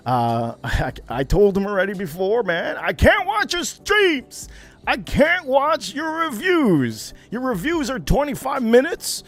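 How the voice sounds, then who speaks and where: Guy rambling on his livestream, talking to chat, lotta uhs, faint music under it. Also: a little high. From United States. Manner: rambling, many fillers, reads out viewer names. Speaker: livestream host. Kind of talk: casual livestream chat with viewers.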